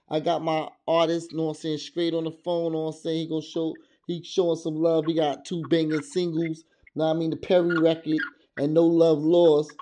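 Loud slangy podcast host man. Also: on the high side, slight background noise. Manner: loud, slangy, fills with "know what I'm saying". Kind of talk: casual hype-man chat. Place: Virginia.

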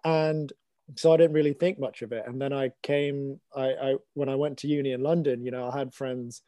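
Young man from Hong Kong, mellow voice, dry close sound, earnest podcast host. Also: medium pitch, steady tone, brisk, dry recording. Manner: earnest, loose phrasing. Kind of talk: casual discussion.

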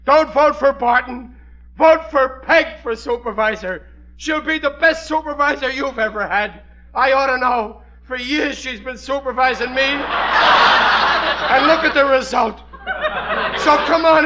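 An actor playing a bumbling, soft-hearted middle-aged husband and father, folksy and blustery, with an American accent in 1940s radio comedy. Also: extremely high pitch, measured pace, exaggerated voice. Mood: earnest, rousing. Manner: folksy, blustery, plain-spoken. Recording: muffled 1940s radio broadcast.

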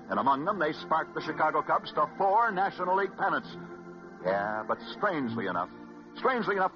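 An American man telling stories as a 1940s radio host, scripted and dramatic, with emphatic repetition and weighty pauses. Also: mid-range voice. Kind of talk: 1940s radio sports storytelling, scripted narration. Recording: muffled vintage 1940s radio recording. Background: music.

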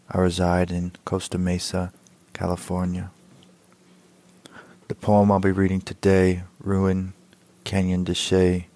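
A man's voice: low voice, dark tone, no room sound. A man, a poet introducing himself and his work. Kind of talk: spoken introduction to a poetry reading.

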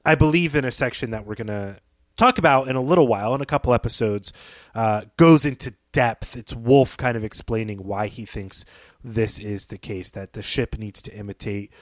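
A man's voice: mid-range voice, moderate pace. A man with a mid-range voice speaks as a podcast co-host, captured dry and free of echo. He discusses fiction conversationally, explaining and analysing its details as he goes.

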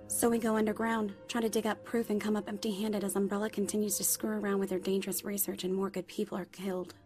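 A woman's voice: mid-range voice, lightly acted. A woman playing a young, wry and determined female ex-police operative and former thief in a dramatic audiobook, in a sardonic inner monologue. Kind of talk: dramatic audiobook character acting. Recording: music under the voice. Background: music.

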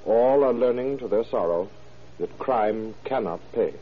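A man's voice: medium pitch, unhurried. A man giving formal scripted narration on an old radio crime show. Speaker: epilogue narrator. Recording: narrow, tinny old radio broadcast.

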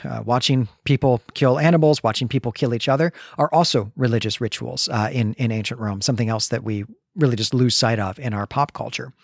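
A man with a mid-range voice talks as a podcast co-host, explaining history conversationally. His delivery is scholarly and full of careful asides. The sound is dry and close, with no room echo. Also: medium pitch. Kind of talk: conversational literary podcast discussion.

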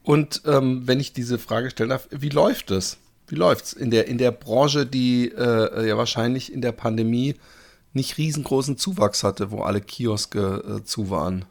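A man's voice: medium pitch, normal speed, creaky. A man hosting a podcast, asking long, winding questions with many restarts and fillers. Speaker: podcast host. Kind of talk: casual podcast interview.

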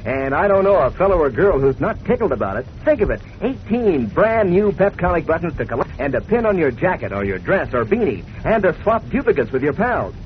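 A man pitches a product in chummy 1940s radio style. His fairly high voice moves up and down with enthusiasm, on a dull old recording. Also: sing-song, fairly quick, hardly any pauses. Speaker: sponsor pitchman. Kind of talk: folksy 1940s radio advertising. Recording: muffled old radio recording, faint noise.

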